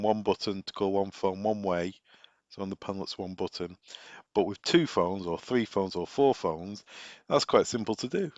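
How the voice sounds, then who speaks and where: A man working as a technical trainer, giving an instructional explanation and repeating key points with emphasis. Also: on the low side. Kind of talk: instructional explanation of wiring diagrams. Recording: dull, echoey room recording.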